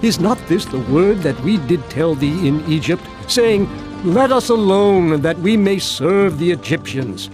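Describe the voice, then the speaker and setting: An actor playing frightened Israelites who complain fearfully to their leader in an audio drama. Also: male voice, high-pitched, animated, unhurried. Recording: voice over music.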